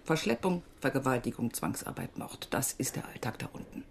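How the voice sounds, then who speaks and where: An actress playing a weary, evasive middle-aged aid organisation manager in a German radio crime drama, husky and world-weary. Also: female voice, very deep voice, big pitch swings, fairly quick, a little hiss or hum, full character voice. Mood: bitter, weary.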